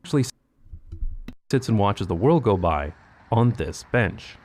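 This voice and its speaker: A man's voice: fairly low pitch, expressive, unhurried, a little mellow. A man narrating a video from a script, with a wry and pointed delivery.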